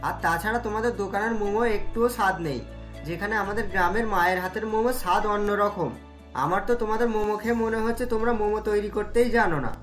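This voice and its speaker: A young married man from a cartoon tale, doting but easily riled , voiced by a woman. The pitch sits well under the narrator's, and the delivery is conversational and emphatic. Music runs beneath. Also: steady tone, quick pace, natural voice. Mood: indignant. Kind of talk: cartoon story dialogue.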